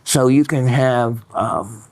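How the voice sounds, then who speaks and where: Male host, mid pitch, chatty, rambling, dry close sound. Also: slow pace, darkish tone, no echo. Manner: rambling, many fillers and restarts. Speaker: host reading viewer mail. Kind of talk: casual educational chat.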